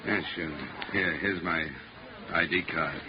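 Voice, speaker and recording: Deep-voiced hardboiled American radio detective. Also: male voice, fairly even intonation, a little slow. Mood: matter-of-fact. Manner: terse, dry, wisecracking. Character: cool, hardboiled male insurance investigator, matter-of-fact. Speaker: lead actor and first-person narrator. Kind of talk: 1950s radio detective acting. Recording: muffled, noisy old radio recording.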